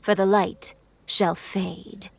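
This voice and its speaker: An actress playing a mocking, sinister cult leader in a video game, with an archaic, taunting and ominous way of speaking. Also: female voice, mid-range voice, flat delivery, slow, gravelly, slight background noise. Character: ageless cult leader woman posing as young, mocking, sinister. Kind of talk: dramatic video game voice acting.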